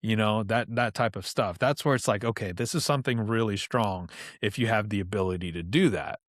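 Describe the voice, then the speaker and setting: A man with a lowish voice chats through his stories as a podcast guest, loose and unpolished, leaning on "like" and dropping swear words freely. The sound is dry and close, with no room around it. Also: a little fast, no room sound. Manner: loose and chatty, heavy on "like", frequent swearing. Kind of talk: casual storytelling chat.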